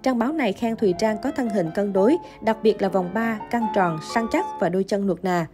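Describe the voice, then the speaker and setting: A woman reading a scripted entertainment news narration in an even, steady delivery. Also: mid-range voice, a little fast, music in the background.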